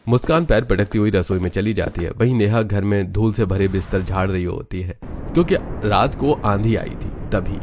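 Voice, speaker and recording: A man's voice: lowish voice, normal speed, a little mellow, slight background noise. A man reading scripted story narration as the narrator.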